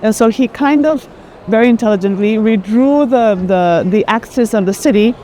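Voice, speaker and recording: A woman's voice: fairly high pitch, moderate pace, dark tone. A woman explains things conversationally as a guest on a tour, restarting phrases as she goes. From Colombia. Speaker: art advisor and guest. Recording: faint urban background noise.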